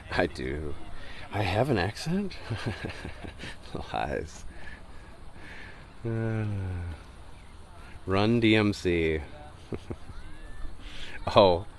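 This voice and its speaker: Deep-voiced man with a Michigan accent. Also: sing-song, very slow, pauses often. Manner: chatty, laughs often, answers viewers. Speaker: livestream host. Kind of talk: casual livestream chat reading comments. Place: outdoors wading at a beach. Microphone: phone.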